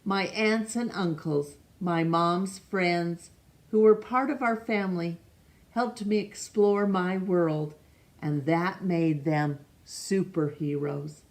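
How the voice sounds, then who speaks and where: A woman reading a picture book aloud to children, stopping to ask the listener questions and now and then rereading a name. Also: lowish voice. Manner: slow, gentle, asks the listener questions; stumbles over names and rereads them. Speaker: storytime reader.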